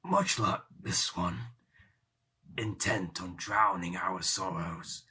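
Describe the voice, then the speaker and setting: A man's voice: low voice, sharp tone, husky, heavily acted. An actor playing an aging, boastful pirate captain who tells his tales in a grave, theatrical, rambling way for an audio drama. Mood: ominous. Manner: theatrical, deliberate, archaic seafaring speech.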